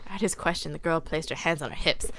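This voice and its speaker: A woman's voice: sits low, fast talker, pushed and bright. A woman reading fan fiction aloud as an amateur, in a mock-serious dramatic narrating style.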